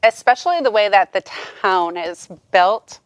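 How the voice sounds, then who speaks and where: A woman's voice: medium pitch, animated, normal speed, no echo. A woman interviewing an author, chatting casually and encouragingly. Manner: enthusiastic, encouraging.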